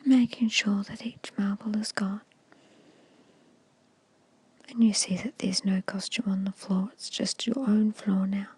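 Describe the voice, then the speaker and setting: A woman whispering a guided meditation in a slow, soothing, hushed storytelling manner, close to the microphone. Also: mid-range voice, level tone, no echo. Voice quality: breathy whisper. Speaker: hypnosis guide.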